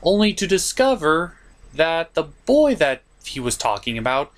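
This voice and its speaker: A man's voice: a little high, big pitch swings, a little slow. A man narrating a comedic film review in a sarcastic, exasperated manner.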